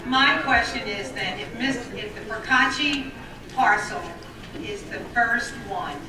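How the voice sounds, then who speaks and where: Woman at a council podium asking pointed questions, with a big voice and halting restarts. Recorded from far off in an echoey, noisy room. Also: fairly high pitch. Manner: says she has a big voice. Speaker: resident giving public comment. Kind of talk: public comment at a council meeting. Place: township council meeting room. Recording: echoey room, distant mic, background noise.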